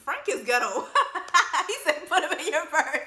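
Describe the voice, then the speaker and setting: A woman's voice: sits high, very animated, a little slow, roomy sound, background noise. A woman in her twenties giving casual, laughing commentary on a film, full of slangy asides, speaking into a wired earphone mic in a bare room. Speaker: YouTuber reacting to a film.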